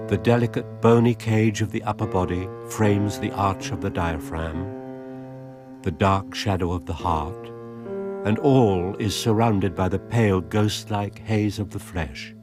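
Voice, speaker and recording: A solemn storyteller in a literary radio drama, with a deep voice and a slow, measured delivery. Music plays clearly behind him. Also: male voice. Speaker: narrator.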